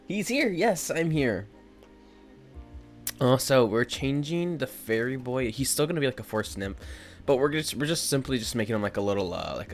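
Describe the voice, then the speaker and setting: Stuffy-nosed young man chatting while drawing. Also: on the low side. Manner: rambling, filler-heavy, talks to chat. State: stuffy nose. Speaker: art streamer. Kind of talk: casual chat with viewers while drawing. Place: indoors at home.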